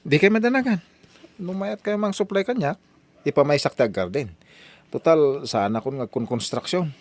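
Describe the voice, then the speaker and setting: A man playing a young laborer out of work who is earnest and animated. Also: a little high, moderate pace. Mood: hopeful. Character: young man, jobless laborer turning to farming. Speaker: lead character. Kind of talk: radio drama acting.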